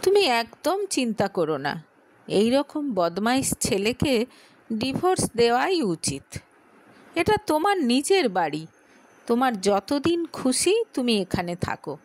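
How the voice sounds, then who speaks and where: Woman acting a coaxing, scheming sister-in-law, lively pitch. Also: takes it slowly, dry recording, full character voice, poor audio. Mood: soothing. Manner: coaxing, feigned concern. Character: scheming, sweet-talking young married sister-in-law.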